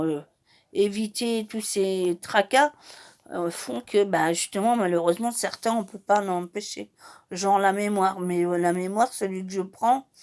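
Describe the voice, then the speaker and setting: A woman in her forties, from Madeira and a native Portuguese speaker, talking about her life in a hesitant way with many fillers and restarts. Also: mid-range voice. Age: 40s. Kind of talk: casual personal monologue.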